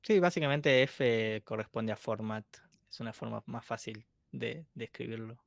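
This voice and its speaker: A casual, chatty man co-teaching an online workshop, quick to laugh. His pitch is ordinary for a man. The sound is dry and close, as on a video call. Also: steady tone, creaky, dry recording. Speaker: co-instructor.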